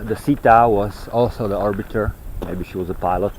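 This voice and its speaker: A man giving an unscripted, rambling monologue while walking outdoors, halting and fragmented as he searches for words. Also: fairly low pitch, steady tone, a little mellow, slight background noise, poor audio. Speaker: vlogger. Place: outdoors on a walk in nature.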